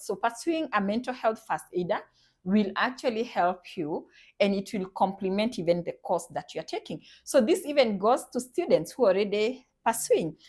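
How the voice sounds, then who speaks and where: A woman's voice: medium pitch, a little mellow, slightly rough. A woman psychologist with a Kenyan accent, earnestly urging viewers in a promotional talk, repeating her points. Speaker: psychologist and center director.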